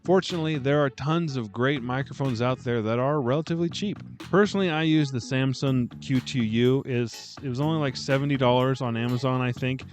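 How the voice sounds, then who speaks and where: A man with a deep voice giving casual, self-deprecating vlog tips at home, talking close into a dynamic microphone. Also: fairly quick, hardly any pauses, faint music behind. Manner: chatty, self-deprecating, animated. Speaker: booktube vlogger. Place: home room lined with bookshelves.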